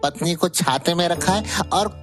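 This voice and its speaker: A man playing a jeering, gossipy village passerby in a Hindi animated moral story. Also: a little high, normal speed, music in the background, close to an everyday voice.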